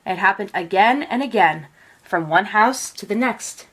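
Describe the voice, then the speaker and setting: A woman reading a children's picture book aloud in an expressive, measured storytelling manner. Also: medium pitch, breathy edge, low-quality recording.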